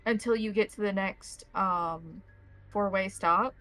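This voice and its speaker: A woman's voice: medium pitch, slow pace, a bit bright, faint music behind. A woman acting as game master, explaining and describing scenes in detail for a tabletop role-playing game.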